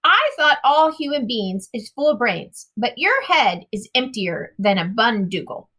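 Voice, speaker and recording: Woman putting on a booming man-eating giant. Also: sing-song, a little slow, pushed and bright, full character voice. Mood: stern. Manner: booming, emphatic, mangled folksy grammar. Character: huge boastful man-eating male giant, muddled grammar.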